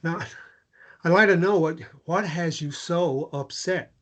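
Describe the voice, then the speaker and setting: A man's voice: fairly high pitch, unhurried, fairly bright tone. A man acting a protective, insistent character in a naturalistic drama table read, bluntly probing and stumbling into repetitions. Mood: concerned probing.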